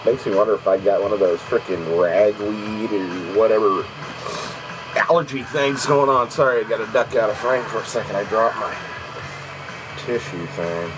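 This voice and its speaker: A man's voice: lowish voice, moderate pace, over music, poor audio. A man with a congested voice, rambling casually with lots of ums as he vlogs about his video game pickups at home. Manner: rambling, many ums and restarts. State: congested from allergies. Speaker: video game collecting vlogger.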